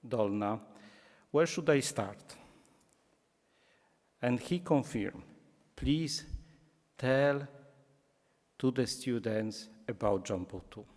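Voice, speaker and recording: A man with a Polish accent giving a public lecture, speaking deliberately and slipping in dry jokes. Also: mid-range voice. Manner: slow, deliberate, joking asides. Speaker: guest lecturer. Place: lecture hall. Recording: reverberant lecture hall.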